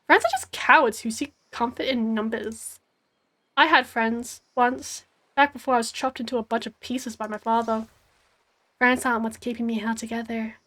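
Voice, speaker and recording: Australian woman reading a vengeful ghost girl; soft, brooding, hoarse, higher-pitched. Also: fairly even intonation, moderate pace, natural voice. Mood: bitter. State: hoarse, losing her voice.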